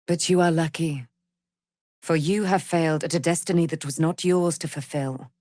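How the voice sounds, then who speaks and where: A woman's voice: deep voice, steady tone, normal speed, warm tone, no echo, close to an everyday voice. An actress playing a proud, immortal holy warrior woman in a fantasy game, speaking in grand, solemn, formal phrases. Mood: grave, proud. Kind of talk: theatrical fantasy game voice acting.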